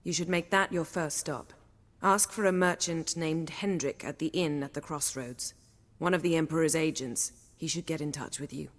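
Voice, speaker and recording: An actress playing a proud, poised sorceress in a video game, clipped and archly teasing. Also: female voice, low voice, normal speed. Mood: cool, businesslike. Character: proud, cool sorceress with teasing, biting wit. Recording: long hall-like reverb.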